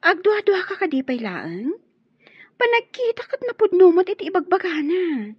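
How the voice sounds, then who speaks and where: A woman's voice: very high voice, big pitch swings, normal speed, dry recording. A woman playing a teasing, nosy friend and confidante in a radio drama. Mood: persuasive. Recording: muffled, lo-fi radio drama audio.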